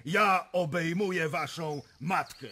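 A man's voice: fairly high pitch, takes it slowly, sharp tone, slight background noise. An actor playing a proud, scornful Polish patriot nobleman in a radio drama, pointed in delivery.

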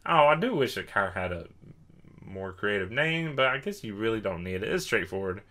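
A man's voice: lowish voice, very animated, a little fast, bright, forceful tone. A young man hosting a podcast, chatting casually in a rambling, self-deprecating way while a little tipsy. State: tipsy from drinking. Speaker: podcast host.

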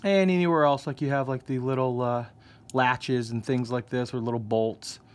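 A man hosting a casual scale-model painting tutorial, explaining each step in a relaxed way. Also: mid-range voice, normal speed. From United States. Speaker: hobby shop host. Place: hobby workbench indoors.

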